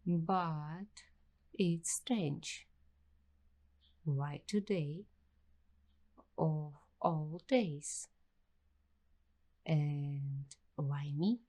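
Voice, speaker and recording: A woman playing a nervous, curious young waitress in an ASMR roleplay, speaking softly and breathily in short, halting phrases. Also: low-pitched. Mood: puzzled. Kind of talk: ASMR roleplay, slow scripted monologue.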